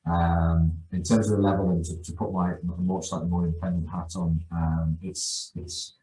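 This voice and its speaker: A middle-aged man with a very low voice speaks as a guest on a casual podcast. He is enthusiastic and keeps repeating and restarting his words. His voice comes across with a noticeable room echo. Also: level tone, moderate pace, mellow. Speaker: guest, youth football coach. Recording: echoey room.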